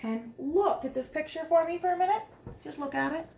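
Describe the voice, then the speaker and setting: Lady reading a kids picture book, high voice, chatty n expressive, kinda echoey room. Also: brisk. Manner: expressive, asks listeners questions, explains pictures simply. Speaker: teacher reading a picture book aloud to children. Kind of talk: read-aloud storytelling with chatty asides to young children. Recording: roomy echo, some background noise.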